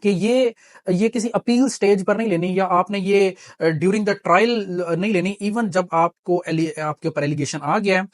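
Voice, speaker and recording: A man's voice: high-pitched, unhurried, no room sound. A man with a Pakistani accent giving an explanatory law lecture, mixing in English terms and teaching didactically through examples and repeated key points.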